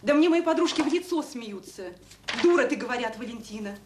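Actress as quick, impatient, aggrieved nurse. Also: female voice, a little high, lively intonation, echoey room, recorded from a distance, noisy background, close to an everyday voice. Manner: impatient, complaining. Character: pushy, aggrieved female nurse named Valentina. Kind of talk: Soviet radio drama acting.

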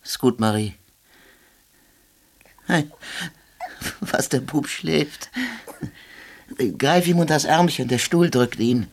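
Slow, husky, humble soldier. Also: male voice, a little high, animated, full character voice. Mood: weary, tender. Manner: halting, groping for words. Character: poor, harried male soldier, humble and brooding. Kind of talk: German radio drama acting.

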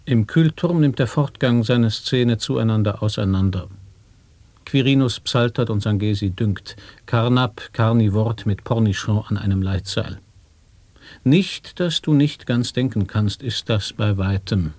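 An earnest speaker of nonsense in an experimental radio drama. He recites formally at a slow, measured pace. The recording is very dry. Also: fairly low pitch, a little mellow, no room sound. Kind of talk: avant-garde radio play recitation of nonsense wordplay.

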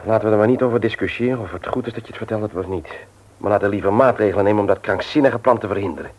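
An actor playing a firm, insistent older brother in a Dutch radio drama, probing with authority. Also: male voice, fairly low pitch, very fast, highs cut off, close to an everyday voice. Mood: concerned, probing. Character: firm, persistent older brother investigating a planned burglary.

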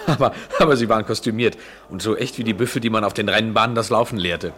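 Low-voiced, wry, aloof European man played by an actor. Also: fairly bright tone, lightly acted. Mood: playful, secretive. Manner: measured, poetic, wry. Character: restless, wry European traveller, aloof yet attracted man. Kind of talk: 1970s German radio play acting.

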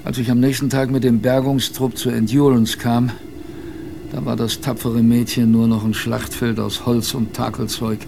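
A man playing a plainspoken expedition survivor, telling his story in a wry, colloquial, gravelly radio drama narration. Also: medium pitch, flat delivery, moderate pace, dark tone, soft background music, natural voice. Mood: wry, reminiscing. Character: plainspoken male polar expedition survivor recalling hardship, wry.

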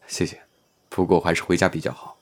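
A man's voice: low-pitched, flat delivery, fast. A man playing a modest, considerate male minor actor in an audio drama, speaking politely and mildly, a little hesitant.

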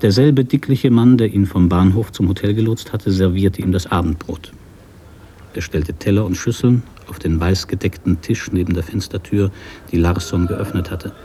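A man narrating a literary radio drama from a script in a measured, sober manner. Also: low voice, mellow, slight background noise.

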